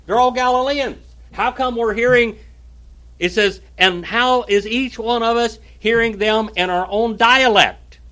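A man's voice: extremely high pitch, a little slow, bright, forceful tone. A man from Oklahoma with an Oklahoma accent, teaching a Bible class in a folksy way and calling on listeners by name. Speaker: pastor.

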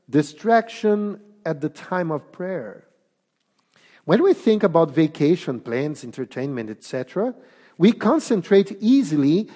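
A man with a Portuguese accent delivers a sermon in a high, measured voice. He speaks slowly and emphatically, pausing often. His voice rings with the long reverb of a large hall. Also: a little mellow. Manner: deliberate, emphatic preaching with pauses. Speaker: preacher.

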